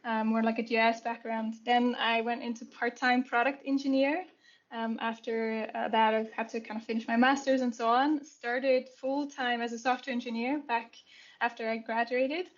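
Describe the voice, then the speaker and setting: Young Swiss woman, flat laid-back delivery. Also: fairly high pitch, normal speed, highs cut off. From Switzerland. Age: young adult. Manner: relaxed, laughs often, frequent ums. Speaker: podcast guest, software and product engineer. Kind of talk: casual podcast conversation.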